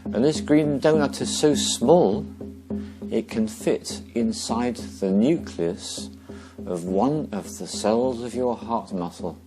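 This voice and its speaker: A man leading a guided meditation slowly and gently, pausing between short phrases. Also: mid-range voice, music in the background.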